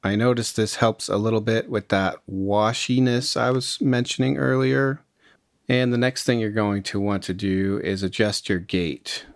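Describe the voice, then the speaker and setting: A man narrating a casual, step-by-step music software tutorial in an informal, explanatory way. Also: lowish voice, flat delivery, measured pace, dry recording, studio quality. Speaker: music production tutorial host.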